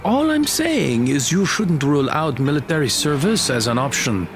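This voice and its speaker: A man's voice: a little high, very animated, moderate pace. A man playing a genial, persistent military colonel in an audio drama, informal and a little hesitant. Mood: persuasive. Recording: music under the voice.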